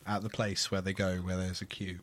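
A man's voice: deep voice, flat delivery, fairly quick, fairly bright tone, dry recording. A man co-hosting a podcast, joining in with dry, teasing banter in casual chat. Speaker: podcast co-host.

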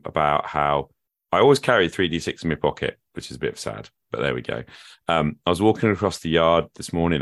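A British podcast host with an extremely deep voice, recorded close and dry. He rambles in a self-deprecating way, full of fillers, while he gets over a cold. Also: no echo. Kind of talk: casual podcast chat.

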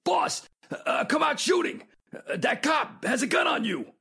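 A man playing a rough, dim crook in a 1940s style radio drama, with slangy, ungrammatical street talk. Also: extremely high pitch, big pitch swings, a little slow, pushed and bright, raised voice, exaggerated voice. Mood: alarmed.

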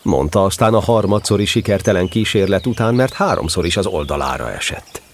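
Deep-voiced, animated male storyteller. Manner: expressive storytelling. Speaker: narrator. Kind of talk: scripted children's story narration in an audio drama. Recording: clearly audible background noise.

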